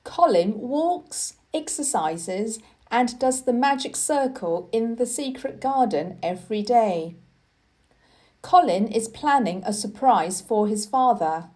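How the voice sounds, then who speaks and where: A woman reading a children's story aloud as scripted narration, with deliberate, expressive delivery. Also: on the high side. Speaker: storybook reader.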